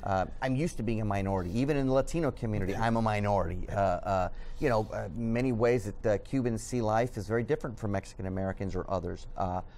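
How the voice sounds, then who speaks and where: A man's voice: fairly low pitch, quick pace, few pauses, darkish tone, a little hiss or hum. A middle-aged man whose first language is Spanish, a news editor talking earnestly as a guest in a studio TV interview, with many fillers. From Southern California.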